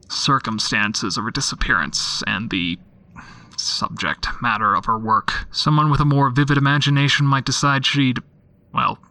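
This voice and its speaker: Gravelly, sardonic homicide detective. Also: male voice, medium pitch, no room sound. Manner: dry, sardonic, deadpan. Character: jaded, sceptical male homicide detective, curt and irritable. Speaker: homicide detective recording case notes. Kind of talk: audio drama monologue, dictated case log.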